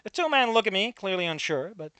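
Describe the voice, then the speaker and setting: Animated man reading a story aloud. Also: sits high, fast talker, smooth, dry recording. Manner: animated, swears, breaks off to comment. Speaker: fan fiction reader.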